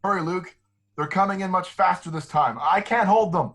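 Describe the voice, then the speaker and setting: A man's voice: sits high, normal speed, a bit bright. A man playing a loyal starfighter wingman, anxious under fire, in a dramatic script read. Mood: panicked.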